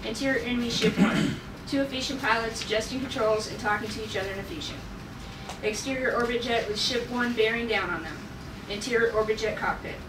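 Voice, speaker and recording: A woman's voice: a little high, brisk. A woman reading stage directions aloud as narrator at a table read of a script. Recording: echoey room, distant mic, noisy.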